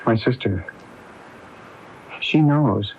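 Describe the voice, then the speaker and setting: A man's voice: mid-range voice, extremely slow. An actor playing an anxious, guilt-ridden man cornered by gangsters, hesitant and earnest, in a radio mystery. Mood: worried. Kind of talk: radio mystery drama acting. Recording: dull, noisy AM radio recording.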